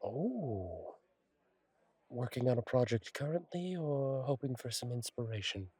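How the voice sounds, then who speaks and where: A man playing a kindly, easygoing fallen angel bartender in an intimate audio roleplay, talking in a husky, wry, conversational way with unhurried pauses. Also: mid-range voice, very animated, a little mellow, no echo. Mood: friendly, curious.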